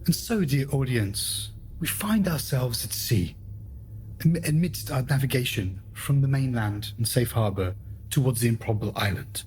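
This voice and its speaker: A man's voice: medium pitch, expressive, normal speed, a little mellow, a little hiss or hum. A man narrating and hosting a radio play in a formal, theatrical storyteller's manner. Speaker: host and narrator. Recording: music behind the voice.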